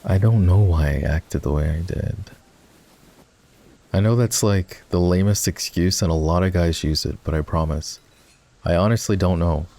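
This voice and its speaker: A man playing an ex-boyfriend turned boss in an intimate roleplay ASMR, talking in a halting, conversational way. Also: sits low, mellow. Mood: regretful, confessional. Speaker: voice actor.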